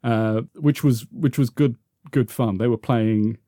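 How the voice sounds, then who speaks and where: A man's voice: medium pitch, fairly even intonation, measured pace, mellow, no room sound, studio quality. A man in his fifties with a London accent, reminiscing casually in an interview, hesitant and often correcting himself as he rambles along. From South London, England. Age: 50s. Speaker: interview guest, football podcaster.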